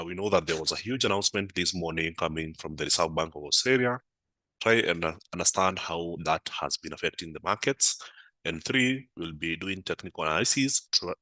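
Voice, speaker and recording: A man's voice: low-pitched, pushed and bright, dry recording, rough recording. A man hosting a live market analysis stream, his voice a little off from a flu, talking unhurriedly with many "uh" fillers. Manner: unhurried, with frequent "uh" fillers, answering live comments. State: voice affected by a flu.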